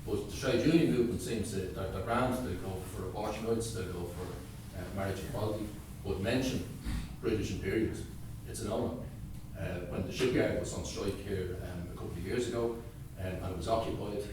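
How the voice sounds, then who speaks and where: Guy in the audience, kinda low voice, lots of ums, noisy far-off hall sound. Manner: halting, many fillers, builds to forceful points. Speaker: audience member asking a question. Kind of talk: impassioned political comment from the floor. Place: large meeting hall. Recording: echoey hall, distant mic, background noise.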